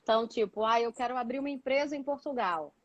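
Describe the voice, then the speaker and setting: High-voiced Brazilian woman, dry close sound. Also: quick pace, no room sound, lo-fi sound. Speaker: guest, doctoral student living in Portugal. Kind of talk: casual explanatory chat.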